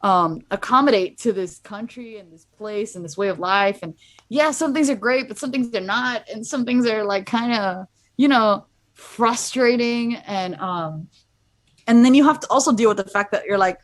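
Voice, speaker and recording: A woman's voice: fairly high pitch, normal speed. A young woman from Miami, a student abroad, chatting casually as a guest and rambling with many fillers. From Miami, USA. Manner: rambling, heavy use of "like". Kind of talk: casual chat.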